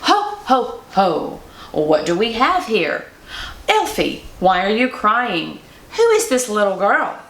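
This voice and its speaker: A woman's voice: medium pitch, wildly swooping pitch, takes it slowly, fairly bright tone, reverberant, heavily acted. A woman voicing a jolly old man, a commanding but kind Father Christmas, hearty and authoritative in a children's storybook. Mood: jolly, stern. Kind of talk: children's storybook read-aloud.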